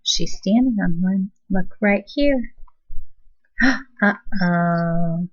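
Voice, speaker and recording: A woman's voice: medium pitch, very slow, dull audio. A woman casually prompting and correcting a young reader during a read-aloud, in short remarks. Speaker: adult helper coaching a young reader.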